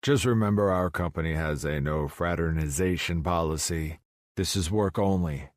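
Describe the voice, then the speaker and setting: A man's voice: sits low, moderate pace, dry recording, studio quality, natural voice. A man voicing a domineering billionaire boss in a romance audiobook, clipped and commanding. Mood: stern.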